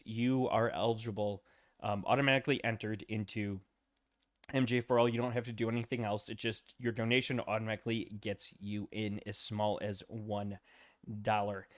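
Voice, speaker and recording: Male stream host, worn out and going hoarse, easygoing rambling chat. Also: fairly low pitch, fairly even intonation, moderate pace. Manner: loose and rambling, many ums and uhs, greets chat by name. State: tired and losing his voice. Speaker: livestream host. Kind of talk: casual livestream chat.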